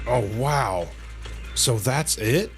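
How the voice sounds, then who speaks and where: A man's voice: medium pitch, animated, extremely slow. A man giving casual commentary as he livestreams a video game, reading some of its dialogue aloud. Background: video game music.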